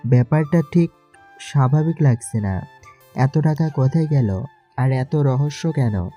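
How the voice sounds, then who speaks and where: A very dark, mellow male voice of an actor plays a protective elder brother in an audio drama. He is stern and questioning, with soft music behind him. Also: medium pitch, animated, measured pace. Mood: suspicious. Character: suspicious, protective elder brother, grown man. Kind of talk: Bengali audio story acting.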